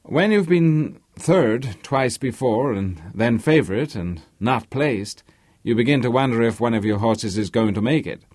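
A man's voice: medium pitch, expressive, measured pace, a little mellow, no echo, clean studio sound. A man voicing a boastful, blustering, talkative rich American racehorse owner in an audiobook. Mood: expansive, hearty. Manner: loquacious, blustering. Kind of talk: audiobook character voice.

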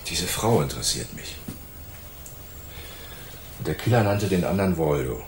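Gruff, gravelly police inspector. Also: male voice, sits low, lively intonation, a little slow, darkish tone, slight background noise. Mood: brusque, suspicious. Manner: brusque, commanding. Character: gruff, self-important male police inspector, hard-nosed. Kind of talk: radio play dialogue.

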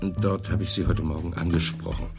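Man acting a low-voiced earnest detective over music. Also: steady tone, brisk, lightly acted. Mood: earnest, factual. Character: matter-of-fact male police detective reporting. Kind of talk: German radio crime drama acting.

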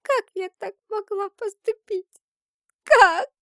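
A distraught young woman in a fantasy tale acted by a woman narrator. Her voice is high and trembling. She sounds helpless and weighed down by guilt. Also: slow, fairly bright tone, dry recording, clean studio sound, exaggerated voice. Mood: anguished.

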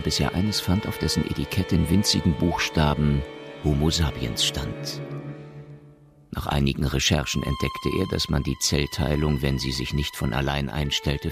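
An extremely deep male voice with a gravelly creak narrates a radio play. The scripted storytelling sits over clearly audible music.

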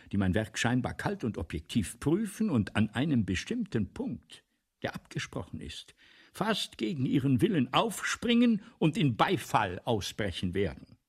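An actor in a German radio play, playing a vain, scheming, imperious celebrated writer with pompous, theatrical, lecturing speech. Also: male voice, low-pitched, husky, full character voice.

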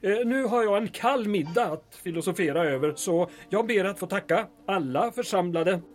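High-voiced, deferential small-town official. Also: male voice, lively intonation. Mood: courteous, hesitant. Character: polite, deferential small-town official, eager to smooth things. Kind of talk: period radio drama acting.

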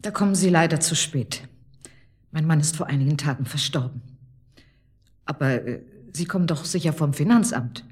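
A woman's voice: sits low, moderate pace, pauses often, darkish tone, slightly rough. A woman acting in a German radio drama as a recently widowed woman, guarded and defensive, answering with reserve. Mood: guarded, formal.

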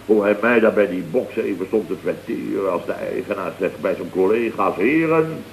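An actor playing a blustering, self-important middle-aged boss telling indignant, rambling stories in 1970s radio comedy style. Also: male voice, on the low side, lively intonation, brisk, slight background noise. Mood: self-important, boastful. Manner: exclamatory, rambling, rhetorical questions. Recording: dull vintage radio recording.

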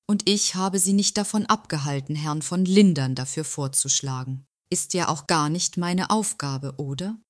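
A scheming office insider acted by a woman, speaking in a low female voice with a very dry, close sound. She is smug and sly, confiding her intrigues with feigned innocence as in a radio play monologue. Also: moderate pace, hardly any pauses, dry recording, natural voice. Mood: smug, coy. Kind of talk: audio drama monologue, confessional narration.

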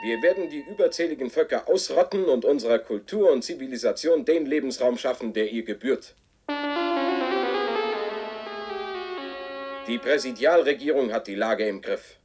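An actor playing a stern authoritarian radio announcer of a war regime, declaiming commands in a clipped official manner in a radio drama. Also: male voice, mid-range voice, fairly quick, few pauses, over loud music, natural voice. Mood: fierce, triumphant. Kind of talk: 1970s radio drama propaganda broadcast.

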